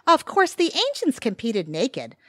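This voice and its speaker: Woman playing a bubbly, animated young delivery woman. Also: on the high side, measured pace, dry recording, clean studio sound, exaggerated voice. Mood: bubbly, guileless. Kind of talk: audio theatre comedy acting.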